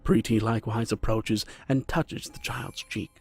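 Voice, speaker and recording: A man narrating a scripted fantasy audio drama as a measured storyteller. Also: lowish voice, steady tone, vocal fry, no room sound. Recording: music under the voice.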